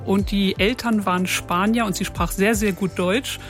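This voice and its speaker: A woman's voice: medium pitch, steady tone, moderate pace, soft background music. A woman, a voice and singing coach, explaining things conversationally as a radio guest. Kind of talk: radio interview.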